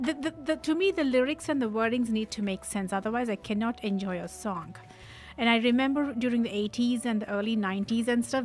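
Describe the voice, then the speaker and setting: A woman hosting a radio show, chatting casually in an animated, emphatic way; her is Telugu. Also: a little high. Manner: animated, emphatic, quick asides. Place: radio studio.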